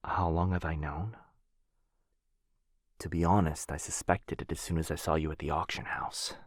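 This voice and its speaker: A man playing an apologetic, protective vampire lord in an intimate boyfriend ASMR roleplay, with a breathy, husky voice that often drops to a whisper and a hesitant, tender delivery. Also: a bass voice, fairly even intonation, moderate pace, no room sound, studio quality. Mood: remorseful, earnest. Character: apologetic, protective male vampire lord, soft-spoken and earnest.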